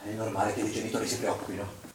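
A man's voice: on the low side, flat delivery, racing, close to an everyday voice. A man acting a worried, suspicious father in a stage drama, pressing his listener with pointed, accusatory questions. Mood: anxious, grave. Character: worried, suspicious, insistent father of a young swimmer. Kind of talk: theatrical stage drama dialogue. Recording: roomy echo, faint background noise.

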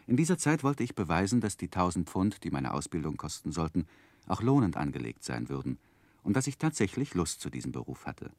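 An actor playing an earnest, romantic gentleman narrating his own youth in measured, literary for a 1960s radio drama. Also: low-pitched, big pitch swings, no echo. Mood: reflective, composed. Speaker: narrator and main character. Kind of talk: dramatic first-person narration, 1960s German radio play.

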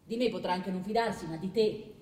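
A woman's voice: medium pitch, a little fast, dark tone, natural voice. Actress doing a pushy plotting queen, theatrical, bit far from the mic, long hall echo. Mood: insistent, urgent. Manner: urgent, imperious, persuasive. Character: scheming, commanding, insistent queen. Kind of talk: stage drama acting. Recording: echoey hall, distant mic, faint noise.

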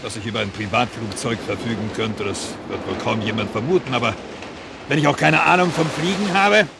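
A male actor as a calculating fugitive in a radio play, with a creaky, gravelly voice at medium pitch. He gives instructions matter-of-factly against very loud surf-like noise. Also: fairly quick, lightly acted. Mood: businesslike, insistent. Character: calculating male fugitive arranging his escape. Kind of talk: naturalistic German radio drama acting.

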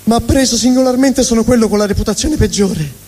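Man playing a high-pitched, sardonic ranting grouch in a hall. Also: a little fast, slightly rough. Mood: indignant, wounded pride. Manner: ranting, sardonic, swooping between mutter and shout. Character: angry, vulgar, honest middle finger griping about his brothers. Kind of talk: theatrical comic monologue on stage. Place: live stage at a fundraising event. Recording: echoey hall reverb, live stage.

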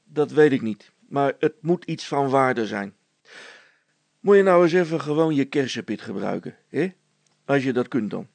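A man's voice: medium pitch, lively intonation, normal speed, vocal fry, a little mellow, no echo. A man voicing a self-important, gossipy village policeman who talks in a hesitant, confiding and bossy way in a storybook reading. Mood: condescending. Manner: hesitant, confiding, bossy, stammering over words.

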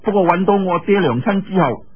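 A man's voice: high-pitched, expressive, fairly quick, breathy edge, lo-fi sound, pitched above the performer's own voice. A man voicing a playful, willful young woman in an audiobook, coquettish and whining as he pouts and teases. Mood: coaxing, bossy.

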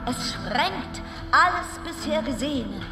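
An actress playing a strict cruise ship voice in a radio play, announcing rules and slogans in measured declarations. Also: female voice, sits high, expressive. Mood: measured, declarative. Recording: music behind the voice.

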